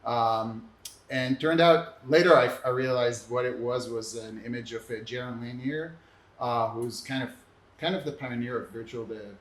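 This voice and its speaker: A middle-aged man speaks informally and reflectively about his own career, often halting as he gathers his words. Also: medium pitch. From Israel. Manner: hesitant, reflective, halting phrasing. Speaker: art and technology academic, panel guest. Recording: echoey room, faint background noise.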